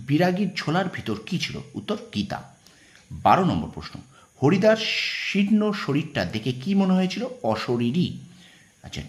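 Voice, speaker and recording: A man teaching an informal exam-prep lesson, instructive and emphatic, repeating points and often checking that students follow. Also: on the high side. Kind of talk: informal exam lesson, reading out questions and answers.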